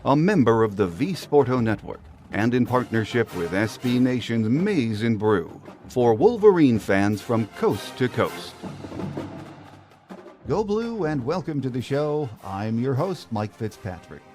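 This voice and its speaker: A man's voice: medium pitch, sing-song, measured pace, darkish tone, soft background music. A man hosting a sports podcast, reading a prepared opening and then interviewing his guest with easy fillers. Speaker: podcast host.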